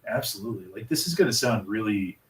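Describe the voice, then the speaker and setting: A man's voice: fairly low pitch, expressive, quick pace, recorded from a distance. An American man in his thirties hosting a casual interview, chatty and rambling. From United States. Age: 30s. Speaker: interview host. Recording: echoey room, away from the mic.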